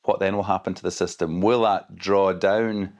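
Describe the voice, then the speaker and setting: The low-voiced Scottish scientist guest on a podcast, carefully talking a listener through a complicated subject. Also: male voice, steady tone, moderate pace, gravelly, a little mellow. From Scotland. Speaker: expert guest, hydrogeologist. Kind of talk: explanatory interview conversation.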